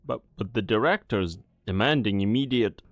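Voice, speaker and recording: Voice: medium pitch, lively intonation, normal speed, no room sound, lightly acted. Officious subordinate officer, voiced in a fan dub.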